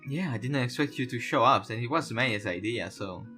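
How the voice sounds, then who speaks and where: A man's voice: medium pitch, normal speed, bright, forceful tone, lightly acted. Man reading as young male partygoer, teasing, nosy, casual, visual novel character, guitar music behind. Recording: voice over guitar background music.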